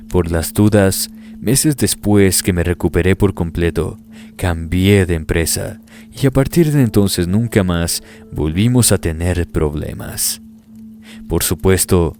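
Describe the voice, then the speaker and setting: Deep-voiced guy reading scary stories slow and grave, soft music underneath. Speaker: horror story narrator. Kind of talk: scripted first-person storytelling. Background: faint background music.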